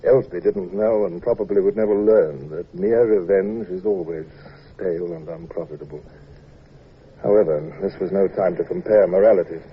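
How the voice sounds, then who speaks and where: An actor playing a grave, reflective naval officer narrating in the first person for a radio drama, measured and solemn. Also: male voice, low-pitched, close to an everyday voice. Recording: dull lo-fi radio recording with hiss.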